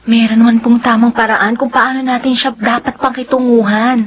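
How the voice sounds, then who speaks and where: An actress playing an earnest, stubborn young Filipino novice who pleads and protests in a radio drama. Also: female voice, fairly high pitch, level tone, moderate pace, dull audio, slight background noise, lightly acted. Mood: indignant. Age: young adult.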